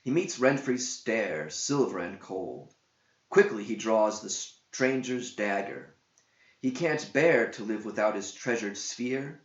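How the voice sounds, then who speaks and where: A man's voice: fairly low pitch, animated, slow pace. A man reading a verse epic aloud as a theatrical, declamatory storyteller. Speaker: narrator, author reading own verse epic. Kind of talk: dramatic scripted verse narration. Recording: dull roomy echoing home recording.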